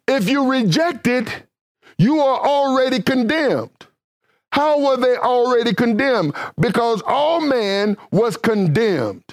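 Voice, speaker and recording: Fervent high-voiced male preacher, dry sound. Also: big pitch swings, slow, dry recording. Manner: fervent, rising and falling preaching cadence; casual, conversational phrasing. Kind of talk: sermon.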